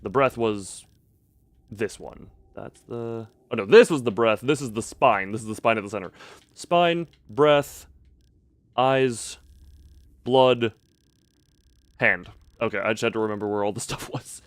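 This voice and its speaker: A man's voice: medium pitch, a little slow, pauses often, no echo. A man giving casual live gameplay commentary as a streamer, musing and hesitating as he thinks aloud. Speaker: game streamer.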